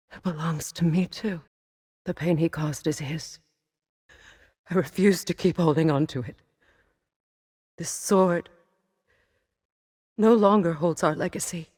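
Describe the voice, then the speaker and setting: A woman's voice: fairly low pitch, breathy edge, dry recording, full character voice. A fierce goddess and mother from a fantasy video game. She is proud and wounded by grief, speaking with grave, commanding intensity in a close, dry recording. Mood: bitter, intense.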